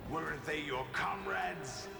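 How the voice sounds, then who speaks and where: An actor playing a cold, sardonic video game villain with a theatrical, taunting delivery. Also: male voice, fairly high pitch, slow pace, creaky, over loud music, full character voice. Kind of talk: dramatic video game cutscene acting.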